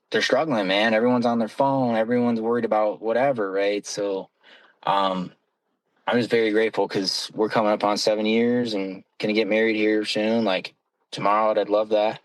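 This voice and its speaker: A young man talks in an earnest, rambling way, as a guest on a casual podcast. His voice sits on the low side. The sound is dry and close, with almost no room around it. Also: fairly even intonation, a little fast, dry recording, rough recording. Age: young adult. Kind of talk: casual chat.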